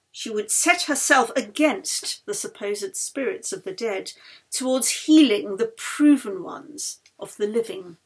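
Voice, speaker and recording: A woman narrating a novel as its author, with dramatic, deliberate phrasing. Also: mid-range voice, gravelly, husky. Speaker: author reading their own novel. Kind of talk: expressive audiobook narration. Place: recorded at home.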